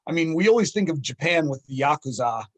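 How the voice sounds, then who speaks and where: Male podcast host, slightly high voice, rambling storyteller. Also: expressive, quick pace. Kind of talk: casual group chat, telling a joke.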